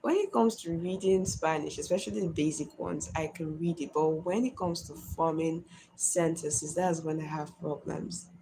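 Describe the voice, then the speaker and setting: A woman's voice: on the low side, mellow, a little hiss or hum, low-quality recording. A woman in her twenties chatting on a live stream, reading and answering viewer comments one by one. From Nigeria. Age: 20s.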